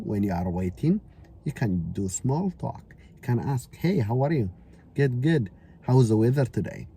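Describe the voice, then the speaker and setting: A man teaching to learners, repeating each example phrase slowly, with grammar. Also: medium pitch, mellow, husky. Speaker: English teacher. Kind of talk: casual spoken English lesson. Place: Canada.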